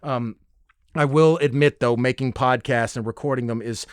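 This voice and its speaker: A man's voice: mid-range voice, moderate pace, clean tone, no echo, clean studio sound. A man hosting a solo podcast in a casual, rambling, conversational way, enthusiastic and casually profane. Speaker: podcast host.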